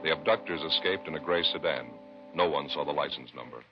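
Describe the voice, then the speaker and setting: A man announcing a 1950s radio show in solemn, measured, scripted narration. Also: extremely low pitch. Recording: dull vintage radio recording. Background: music.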